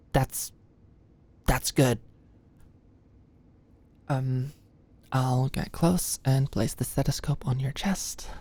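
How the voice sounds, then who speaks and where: Woman acting a low-voiced, anxious young medical student. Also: no room sound, heavily acted. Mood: shy, hesitant. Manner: nervous, hesitant, stammering. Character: anxious, flustered young female medical student, sweet and awkward. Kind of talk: ASMR roleplay, soft intimate acting.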